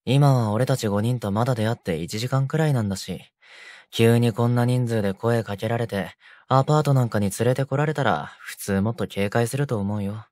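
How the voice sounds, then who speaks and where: A man playing a considerate young delinquent, the gang's calm, reassuring peacemaker, in a romantic audio drama. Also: medium pitch, fairly quick, dry recording, studio quality, natural voice. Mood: gentle. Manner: gentle, reassuring.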